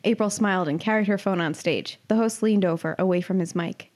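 A woman's voice: mid-range voice, a little fast, a little mellow. A woman in her twenties, a giggly podcast host reading her comic writing and chatting casually. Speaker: podcast host and amateur writer. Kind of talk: reading own comic story, casual chat.

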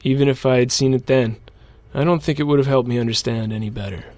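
A man playing a mech pilot and sole survivor, narrating his account in a measured first-person audio drama style. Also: medium pitch, fairly even intonation, darkish tone, dry recording, natural voice. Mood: grim, reflective.

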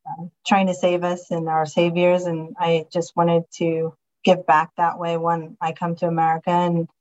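A woman in her forties from Somalia, a guest on an interview, telling her story in an earnest, halting way with many ums and uhs over slightly muffled remote audio. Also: fairly low pitch, completely flat delivery, a little mellow. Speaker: guest, county commissioner.

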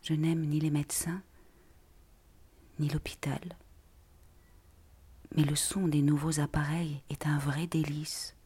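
A man reads a literary monologue at a slow pace. His voice is breathy and often slips toward a half-whisper. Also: on the high side, little pitch movement, a little mellow. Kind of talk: literary text read aloud as a monologue.

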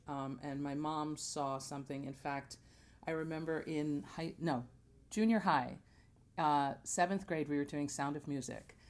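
A woman's voice: sits low, darkish tone. An American woman, a theater singer and podcast guest, chatting casually and acting out bits of dialogue as she tells her story. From born in Jamaica, grew up in New Jersey.

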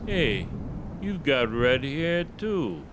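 An actor playing a brisk, suspicious state trooper questioning a motorist in an old radio drama. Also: male voice, medium pitch, big pitch swings, noisy background. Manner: curt, probing, official.

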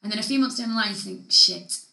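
Lively, bright-voiced chatty woman. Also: medium pitch, fast talker, reverberant, rough recording. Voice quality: nasal. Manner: chatty, animated, laughs often. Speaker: podcast co-host. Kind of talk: casual chat.

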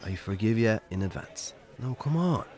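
An actor with an Irish accent playing a stubborn, wry farmer in a radio drama, dry and offhand. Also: male voice, fairly low pitch, expressive, moderate pace, a little mellow, lightly acted. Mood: coaxing. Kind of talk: naturalistic radio drama acting.

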